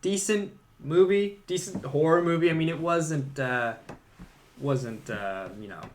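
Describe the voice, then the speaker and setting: A man's voice: a little high, a little slow, a little hiss or hum. A man co-hosting a podcast, giving his opinions on films in a casual, conversational way. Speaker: podcast co-host. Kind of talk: casual film discussion.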